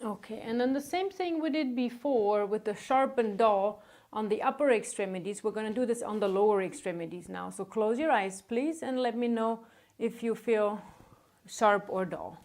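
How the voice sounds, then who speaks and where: Woman with a highish voice walking a patient thru an exam step by step, polite and encouraging. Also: normal speed, a little mellow. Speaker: nursing instructor demonstrating an exam. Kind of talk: instructional clinical demonstration, step-by-step directions to a patient.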